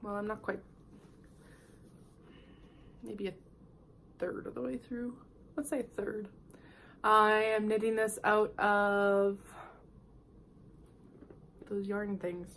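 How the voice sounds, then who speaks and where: A woman's voice: medium pitch, steady tone, takes it slowly, pauses often, a bit bright, a little hiss or hum. Stuffed-up nasal woman chatting casually. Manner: chatty, rambling, with little laughs and throat clearing. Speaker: podcast host. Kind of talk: casual knitting podcast chat.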